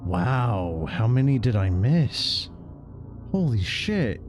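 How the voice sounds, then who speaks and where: A man's voice: medium pitch, big pitch swings, slow pace, over music. A man streaming a game, casually commenting and reading text aloud.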